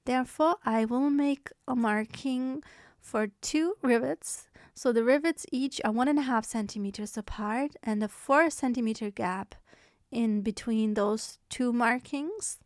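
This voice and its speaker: A woman's voice: a little high, measured pace, dry recording, studio quality. A woman from Germany talking through a sewing tutorial in a chatty, self-deprecating way, with small restarts. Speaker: sewing pattern designer. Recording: soft background music.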